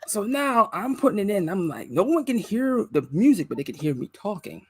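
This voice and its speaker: Husky-voiced man telling a lively story. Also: high-pitched, brisk, no room sound. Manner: animated, acts out other people's voices in quotes. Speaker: guest musician and streamer. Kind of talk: casual storytelling chat.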